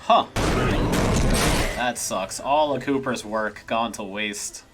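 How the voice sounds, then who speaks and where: A man's voice: mid-range voice, takes it slowly, very noisy. A man giving casual, analytical reaction commentary on a cartoon, picking at details and sometimes swearing. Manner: analytical, nitpicking, mildly profane. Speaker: host of a cartoon review channel.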